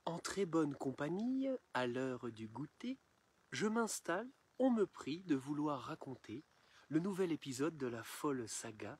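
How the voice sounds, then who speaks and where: Guy doing a slow theatrical poem reading, very animated up and down, declamatory storyteller. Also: on the high side, breathy edge. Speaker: poem reciter. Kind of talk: theatrical recitation of satirical rhymed verse.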